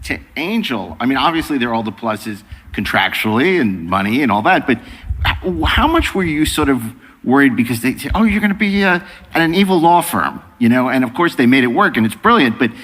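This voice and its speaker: A man's voice: mid-range voice, sing-song, fairly quick, a bit bright. A man hosting a panel interview, chatty and discursive as he circles casually toward his questions. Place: live panel in a large hall. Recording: echoey large hall through PA.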